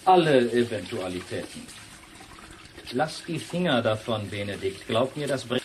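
Evasive government man, played by a man, mid-pitched, clear water noise behind, radio play. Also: animated, normal speed, lightly acted. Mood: guarded. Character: guarded, evasive male official warning a friend. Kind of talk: German radio drama dialogue.

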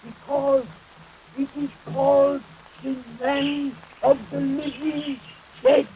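A man's voice: very high voice, level tone, takes it slowly, muffled recording, full character voice. An older man acting a grave, fearful old witch doctor in a 1930s horror film, solemn and ominous. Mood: grave warning. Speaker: film actor. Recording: noisy 1930s film soundtrack under rain. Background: rain storm.

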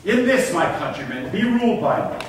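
An actor playing a resolute governor, giving orders in declaimed stage verse. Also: male voice, high-pitched, very animated, a little fast. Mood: resolute, commanding. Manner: commanding, declamatory. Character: commanding, resolute male governor addressing countrymen. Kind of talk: staged reading of Elizabethan verse drama. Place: large indoor theatre stage. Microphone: far camera. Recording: distant echoey theatre stage, faint noise.

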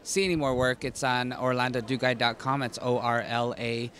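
A man from Arizona introducing his evening wear line at a press junket, halting with many fillers. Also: medium pitch, steady tone, a bit bright. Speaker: fashion designer. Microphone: handheld mic.

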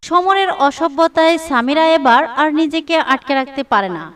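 A woman's voice: sits high, moderate pace, fairly bright tone, recorded from a distance. A woman narrator reading a scripted moral story for a cartoon.

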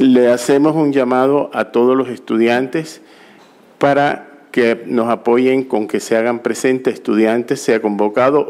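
A middle-aged man at an auditorium podium giving a formal conference welcome, speaking slowly with restarts and self-corrections. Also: mid-range voice, a little mellow. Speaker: conference organizer. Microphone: podium microphone.

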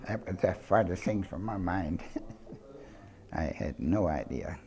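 Very deep, slow elderly American man. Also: expressive, darkish tone. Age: 70s or older. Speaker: war veteran interviewee. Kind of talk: recollecting in an interview. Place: indoor interview studio.

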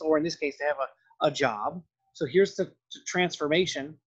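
A male lecturer with a slightly high, rough-edged voice talks through his material. His delivery is conversational and loosely worded, like a teacher explaining slides. The recording is somewhat dull and lo-fi. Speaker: film and art history lecturer. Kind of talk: lecture narration over slides.

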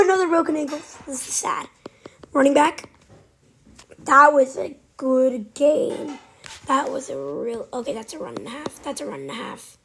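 A woman with a cold giving casual, excitable commentary while playing a game, sometimes dropping to a whisper. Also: sits high, creaky. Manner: chatty, excitable, trailing off into whispers. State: has a cold. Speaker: gaming channel host. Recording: faint background noise.

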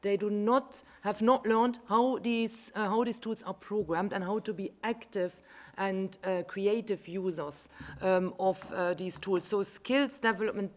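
A woman moderating a conference panel, speaking in a measured, formal way in a large meeting room. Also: mid-range voice.

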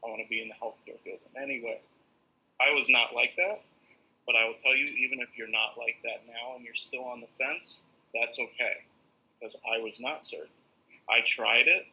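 A man's voice: mid-range voice, fairly even intonation, moderate pace, sharp tone, slightly rough, poor audio. Earnest young man on a video call. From northern New York. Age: young adult. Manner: earnest, candid storytelling. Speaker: nursing student. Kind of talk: casual video call talk. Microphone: laptop or webcam mic on video call.

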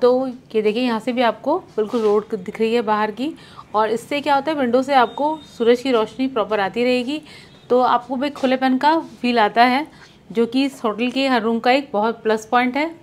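A woman's voice: on the high side, moderate pace, slight background noise. Chatty woman giving a hotel tour. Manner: chatty, inviting viewers to look. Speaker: travel vlogger host. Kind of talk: hotel tour vlog narration. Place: hotel interior and restaurant.

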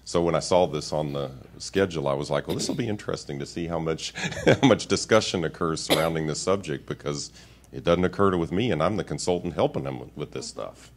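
Male consultant, extremely low voice, candid panel remarks, faint background noise. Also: animated, fairly quick.

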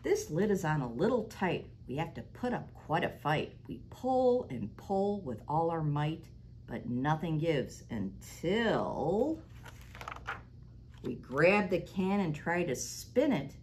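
A middle-aged woman reading a picture book aloud to children as a library storytime host, animated and sing-song, now and then dropping to a stage whisper. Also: sits low, takes it slowly, a little hiss or hum. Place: small library room, indoors. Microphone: lapel mic.

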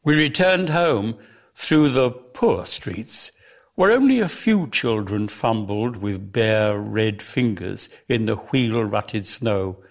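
Slow, dramatic male storyteller, very animated. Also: lowish voice, creaky. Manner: theatrical, deliberate, emphatic phrasing. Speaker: reader of a story. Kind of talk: dramatic literary reading.

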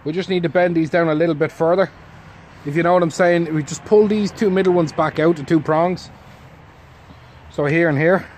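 Slightly high-voiced man explaining casually. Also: fairly even intonation, moderate pace, a little mellow. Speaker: friend helping with a DIY job. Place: outdoors on a driveway by a van. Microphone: camera held by another person nearby. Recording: outdoor handheld recording with faint noise.

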